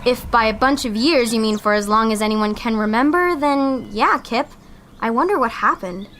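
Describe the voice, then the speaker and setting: A woman's voice: fairly high pitch, moderate pace, a bit bright, a little hiss or hum, natural voice. A young woman playing an exasperated, sensible teenage girl in an amateur audio drama. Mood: casual, puzzled. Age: young adult. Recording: music behind the dialogue.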